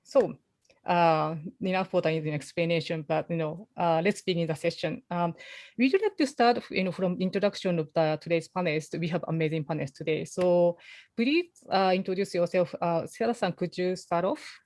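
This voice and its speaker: A woman with a strong Japanese accent moderating an online panel, talking in a halting way with many "you know" fillers. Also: lowish voice, a little mellow, dry recording, clean studio sound. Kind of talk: webinar moderating.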